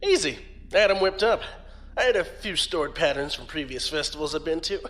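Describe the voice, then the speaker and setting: A man's voice: on the high side, animated, a little fast, a bit bright. A man playing a brash, fun-loving young space fleet officer in an audio drama, with a casual, cajoling manner. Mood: playful, eager. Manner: casual, wheedling, swooping.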